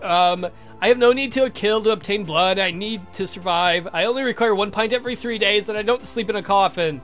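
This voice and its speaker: A man's voice: high voice, brisk, a bit bright, soft background music. A man voicing a courtly, lovestruck vampire count from a visual novel game, speaking formally and earnestly. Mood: earnest confessing. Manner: formal, verbose, confessing.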